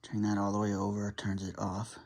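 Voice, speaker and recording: A man's voice: lowish voice, completely flat delivery, brisk, darkish tone. A man casually talking through unboxing and setting up a radio while filming on a handheld phone, muttering as he works. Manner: chatty, mutters while handling gear. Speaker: radio hobbyist vlogger. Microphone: handheld phone camera.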